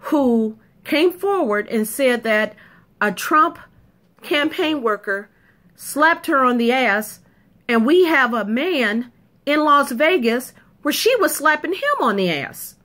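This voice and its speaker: A woman's voice: sits high, sing-song, takes it slowly, a bit bright. A woman delivering an emphatic, punchy opinion monologue to camera, repeating points for effect. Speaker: video commentator.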